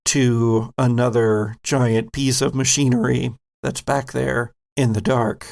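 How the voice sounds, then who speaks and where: Gravelly-voiced male game master. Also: medium pitch, level tone, a little mellow. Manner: laid-back, wry, pauses in his narration. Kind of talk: narrating a tabletop game.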